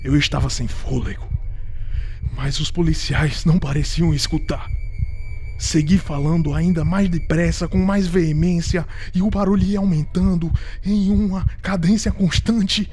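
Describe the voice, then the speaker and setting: A man acting an unhinged Brazilian murderer, slow-paced. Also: a little high, steady tone, faint music behind, close to an everyday voice. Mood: uneasy, agitated. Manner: theatrical, intense, swinging from hushed to shouting. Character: guilt-ridden murderer, unhinged, increasingly frantic, confessing. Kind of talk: dramatic audio drama narration of a gothic horror story.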